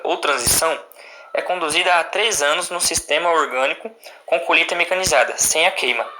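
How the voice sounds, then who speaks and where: Bright-voiced Brazilian man reading formally. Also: a little high, dry recording. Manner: formal, recited reading with occasional stumbles. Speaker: student presenter. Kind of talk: scripted academic presentation read aloud.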